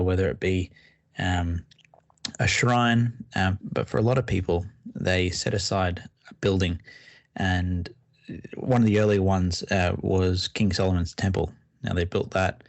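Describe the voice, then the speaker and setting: Low-voiced man on a podcast. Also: no echo. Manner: relaxed, explains at length with frequent fillers and restarts. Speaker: podcast co-host. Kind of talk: casual podcast chat.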